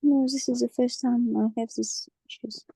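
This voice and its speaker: A woman playing a hoarse forty-nine-year-old patient with a croaky voice, speaking haltingly with many pauses and restarts. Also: a little high, fairly even intonation, mellow, no echo, low-quality recording. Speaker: simulated patient in exam practice.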